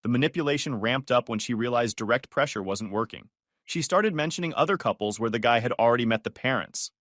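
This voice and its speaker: A man's voice: medium pitch, fast talker, fairly bright tone, no echo, studio quality. A man narrating his own story in the first person, in a sarcastic, wry way.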